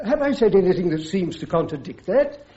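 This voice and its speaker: High-voiced British judge advocate. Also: male voice, big pitch swings, dark tone. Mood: firm, courteous. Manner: courteous, formal, measured wording. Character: polite, firm judge advocate at military court. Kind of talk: full-cast radio drama acting. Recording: muffled, roomy radio recording.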